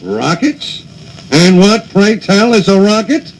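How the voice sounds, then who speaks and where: A man voicing a loud, pompous caveman who talks with mock-formal bluster. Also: sits high, sing-song, takes it slowly, exaggerated voice, poor audio. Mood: blustery.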